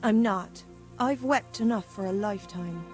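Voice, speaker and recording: An actress playing a resigned, stoic young peasant widow who speaks plainly in a video game cutscene. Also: female voice, medium pitch, moderate pace, music in the background, close to an everyday voice.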